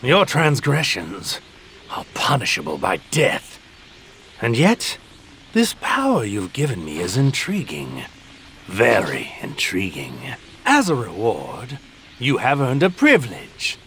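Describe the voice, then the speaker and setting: A man's voice: medium pitch, wildly swooping pitch, takes it slowly, a bit bright, slight background noise, exaggerated voice. A man playing an arrogant, contemptuous villain god in a video game, with pompous, theatrical, formal delivery. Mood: cold, imperious. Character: arrogant male god, grandiose, contemptuous villain bent on destruction. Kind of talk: dramatic video game voice acting. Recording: music under the voice. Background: music.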